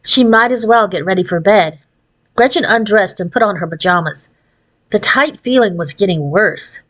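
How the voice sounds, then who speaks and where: A woman's voice: mid-range voice, expressive, normal speed, a bit bright, rough recording. Woman reading a children's story aloud. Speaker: audiobook reader.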